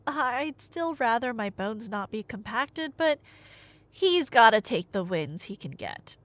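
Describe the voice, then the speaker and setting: A woman's voice: fairly high pitch, a little slow. A woman telling a story in a casual, rambling, conversational way, with wry asides. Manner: animated, conversational, wry asides and rhetorical questions. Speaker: audio roleplay storyteller, playing a nerdy girlfriend.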